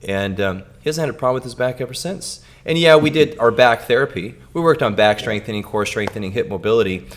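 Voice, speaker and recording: A man co-hosting a podcast, telling a patient story in a halting way with many repeated words. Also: medium pitch. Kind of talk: casual clinical storytelling.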